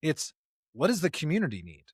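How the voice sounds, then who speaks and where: A man's voice: a little high, wildly swooping pitch, brisk, slightly rough, dry recording, studio quality. A man co-hosting a conversational podcast, talking like a preacher with emphatic, repeated phrases. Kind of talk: conversational podcast discussion with sermon-like passages.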